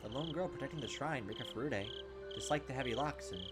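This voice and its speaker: A man's voice: medium pitch, animated, fast talker, over loud music. A young man hosting a gaming video, reading game text aloud and adding casual commentary.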